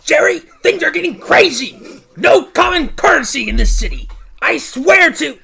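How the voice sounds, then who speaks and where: An excitable, complaining sitcom man, acted out in a parody roleplay. The voice is high and very gravelly, swinging around as it whines and stammers. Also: moderate pace, full character voice. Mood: agitated. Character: neurotic, whiny, excitable male sitcom friend.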